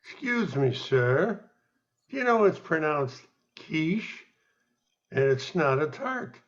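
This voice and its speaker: Male actor as fussy diner patron, radio comedy: dull recording, animated pitch, polite, pedantic. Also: takes it slowly. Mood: politely pedantic. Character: fussy, correcting handsome male diner customer. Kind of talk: radio comedy play acting.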